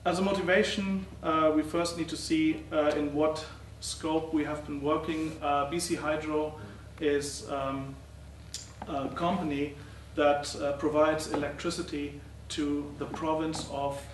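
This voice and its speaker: A middle-aged man giving a conference talk as a professor presenting research, speaking haltingly with many uh and um fillers. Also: a little high, level tone. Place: lecture hall. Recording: roomy, distant, faint noise.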